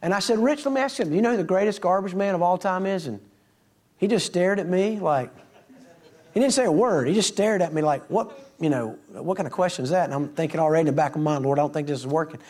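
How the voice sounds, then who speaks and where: A man's voice: high voice, fast, darkish tone. A middle-aged man, a preacher telling folksy stories to his congregation and acting out the dialogue. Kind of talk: storytelling sermon to a congregation.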